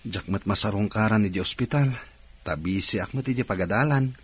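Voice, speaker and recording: An actor playing a dutiful, concerned young student son in an Ilocano radio drama, with a husky voice. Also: male voice, fairly low pitch, fairly quick. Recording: music under the dialogue. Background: background music.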